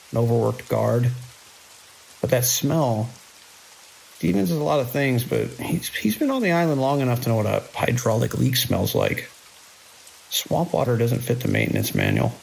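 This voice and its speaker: Casting: actor as skeptical night-shift security boss, male, heavy vocal fry, lively intonation, dry authority, horror audio drama. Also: medium pitch, a little hiss or hum, low-quality recording. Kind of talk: immersive audio drama acting.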